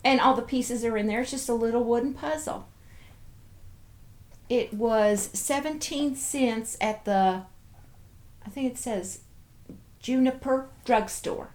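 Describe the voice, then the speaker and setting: A woman's voice: on the high side, slow pace, long pauses, a little mellow. A woman hosting a casual show-and-tell, chatting playfully and cooing as if to little toys and viewers. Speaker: host of a toy show-and-tell video.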